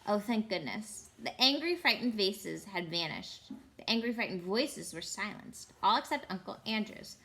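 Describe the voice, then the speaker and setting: A woman storyteller reading a children's novel aloud with expressive, dramatic emphasis. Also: medium pitch, normal speed. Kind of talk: expressive children's audiobook narration.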